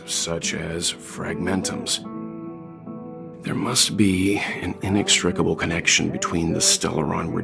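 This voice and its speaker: A man playing a composed, learned veteran gentleman in a video game, explaining things in a measured, gravelly voice. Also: low voice. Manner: measured, scholarly. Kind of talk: game cutscene exposition.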